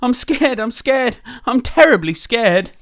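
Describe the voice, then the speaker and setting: A woman's voice: fairly high pitch, animated, slow pace, pitched above the performer's own voice. A woman voicing a timid, wimpy male cook who is scared of everything, fretful and wailing, in a children's storybook. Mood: panicky, scared. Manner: fretful, wailing delivery with repeated pleas.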